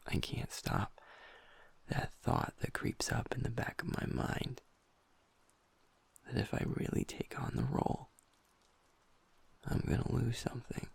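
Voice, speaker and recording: A gentle young werewolf boyfriend, voiced by a man, speaks slowly and close to the mic in an audio roleplay. His low voice is heavily gravelly and breathy, often dropping to a half-whisper. He pauses and restarts as he confides. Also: wildly swooping pitch, exaggerated voice. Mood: vulnerable, confiding. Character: gentle young male werewolf boyfriend, usually upbeat, now downcast.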